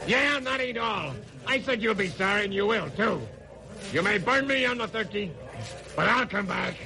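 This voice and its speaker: An actor playing a defiant condemned gangster in an old radio crime drama, spitting slangy, menacing threats. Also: male voice, high voice, normal speed, creaky, bright, forceful tone, heavily acted. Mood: vengeful rage. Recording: vintage radio drama recording.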